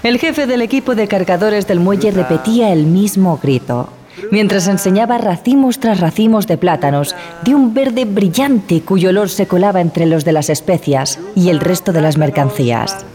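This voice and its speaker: A woman playing a wary ship passenger who recounts a horror tale in a measured, grave manner. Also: mid-range voice, animated, crowd noise, natural voice. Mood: grave recounting. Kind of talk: dramatized first-person horror narration. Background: music.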